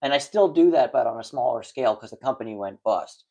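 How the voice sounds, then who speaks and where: A man with an excited stutter rambles casually to a small stream audience. He restarts sentences often and fills the gaps with "like" and "you know." His voice sits at an ordinary middle pitch. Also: quick pace, lo-fi sound. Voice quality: stutters and repeats words when excited. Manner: rambling, frank, lots of fillers and restarts. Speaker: livestreamer chatting with viewers. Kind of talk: late-night casual stream chat.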